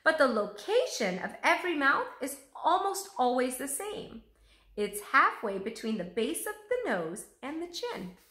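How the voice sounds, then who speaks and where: A woman performs an eager male artist from a children's storybook, lecturing with lively swings in pitch. The tone is bright and full of exclamation. The room adds a noticeable echo around the voice. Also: unhurried, exaggerated voice. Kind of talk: children's storybook read-aloud. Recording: roomy, echoing room sound.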